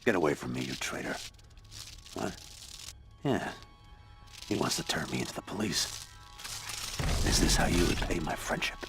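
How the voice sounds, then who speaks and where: A man's voice: very deep voice, animated, normal speed, pauses often, loud music behind the voice. A man playing a vengeful older scientist turned supervillain in a video game, speaking in formal, grandiose language. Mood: bitter, seething. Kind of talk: dramatic video game cutscene acting.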